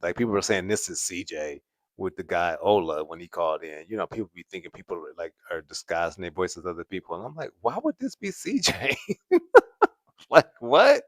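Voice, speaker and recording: A man's voice: low-pitched, big pitch swings, a little fast, dry recording. A man hosting a casual livestream, chatting to viewers and laughing often. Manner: laughs often, repeats "at the end of the day". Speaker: host.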